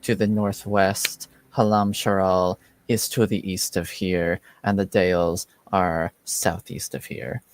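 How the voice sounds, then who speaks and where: A man's voice: deep voice, flat delivery, slow, few pauses, dry recording. A man voicing a reserved, formal cloaked female informant in a tabletop game, speaking stiffly without contractions. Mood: measured. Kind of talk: in-character roleplay.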